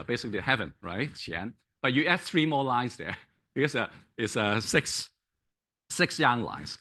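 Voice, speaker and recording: A male academic whose first language is Cantonese lectures at an unhurried pace. His voice is bright, and he keeps restarting phrases and filling gaps with "uh" as he turns to the audience with "right?". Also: medium pitch. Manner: hesitant, many fillers and restarts, checks in with "right?". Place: lecture hall.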